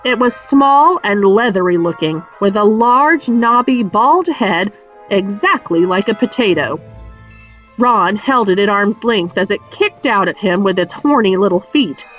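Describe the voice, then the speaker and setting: Animated woman reading a storybook aloud. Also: mid-range voice, a little slow, highs cut off, faint music behind. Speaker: audiobook reader. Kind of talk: expressive storybook narration.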